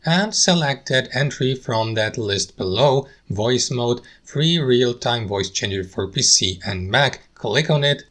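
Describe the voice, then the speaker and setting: A man of ordinary pitch walks viewers through a computer setup with upbeat, friendly directions. He speaks plainly, often addressing his audience as "guys". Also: fairly bright tone. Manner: upbeat, guiding step by step with repeated "guys". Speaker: tutorial host. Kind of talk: screen-recorded software tutorial.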